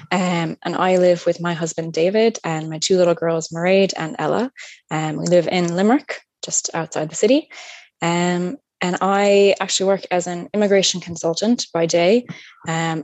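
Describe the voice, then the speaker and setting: American woman in her 30s sharing her story, low-ish voice, soft trailing endings. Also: no room sound. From upstate New York. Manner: thoughtful, many fillers, soft trailing endings. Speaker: podcast guest. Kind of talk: personal storytelling in conversation.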